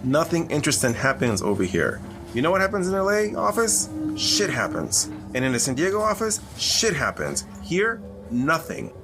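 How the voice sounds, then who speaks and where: Lively, cocky maverick agent played by a man. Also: on the high side, moderate pace, over music. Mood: bored, restless. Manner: brash, sweary, needling. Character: bored, cocky maverick male government agent. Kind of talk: audio drama acting.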